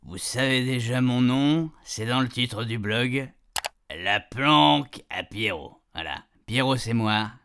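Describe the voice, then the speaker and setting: A man's voice: mid-range voice, takes it slowly, a bit bright, slight background noise. A man playing a chatty, cheeky retired grandpa who rambles colloquially through a radio fiction monologue. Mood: folksy, hesitant. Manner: rambling, colloquial, repeatedly checking his puns landed. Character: chatty retired grandpa, cheeky, self-amused, homespun storyteller.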